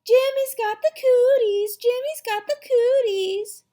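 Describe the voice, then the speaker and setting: Whiny dramatic schoolgirl, shrill n high, big swoops, kids audiobook. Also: very animated, a little slow, exaggerated voice. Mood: taunting. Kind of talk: children's audiobook character voice.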